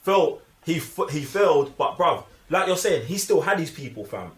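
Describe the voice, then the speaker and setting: A man's voice: on the high side, lively intonation, normal speed. A young man with a London accent chatting casually and emphatically about films, full of slang. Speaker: film review podcast host. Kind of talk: casual opinionated film chat. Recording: echoey room.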